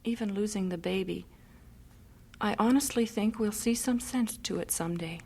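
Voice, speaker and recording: A woman's voice: medium pitch, moderate pace, background noise, lightly acted. Casting: woman to play steadfast missionary wife, mid-range voice, wry and devout, old-time radio acting. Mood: grieving, trusting.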